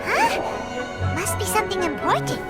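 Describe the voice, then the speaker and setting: A woman voicing a tiny, childlike fairy companion in an anime game, squeaky, wide-eyed and cheerful. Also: wildly swooping pitch, unhurried, exaggerated voice. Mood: puzzled. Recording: loud background music.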